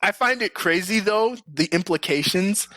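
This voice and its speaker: A man's voice: sits high, lively intonation, normal speed, pushed and bright, dry recording. A man co-hosting a podcast in casual chat, with a giggly manner of talking. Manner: giggly, laughs often while talking. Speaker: podcast co-host. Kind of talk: casual chat about video game movies.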